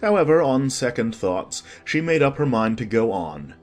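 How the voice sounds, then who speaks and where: A narrator reading a children's storybook in an expressive, scripted storytelling manner. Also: mid-range voice, normal speed, soft background music. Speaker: audiobook narrator.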